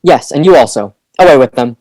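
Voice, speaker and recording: A woman's voice: extremely low pitch, animated, brisk, warm tone, dry recording, low-quality recording. A woman playing a proud, commanding boy leader in an audio play. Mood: bossy, eager.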